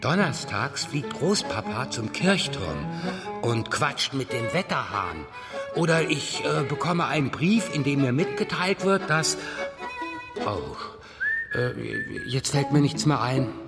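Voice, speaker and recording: A man's voice: medium pitch, big pitch swings, takes it slowly, loud music behind the voice. A man playing a playful father in a radio play, spinning absurd stories in a whimsical, improvising manner.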